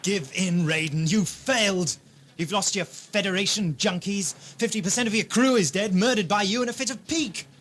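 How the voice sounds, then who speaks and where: A man's voice: high-pitched, moderate pace, a bit bright, a little hiss or hum. A man playing a wry, ironic time-travelling adventurer in a science fiction audio drama. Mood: defiant, taunting. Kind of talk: amateur sci-fi audio drama acting.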